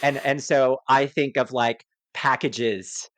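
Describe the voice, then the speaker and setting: Halting man on a dry-sounding podcast. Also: medium pitch, fairly even intonation, a bit bright, dry recording. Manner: halting, repeats words as he reasons. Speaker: film podcast panelist. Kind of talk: casual awards discussion.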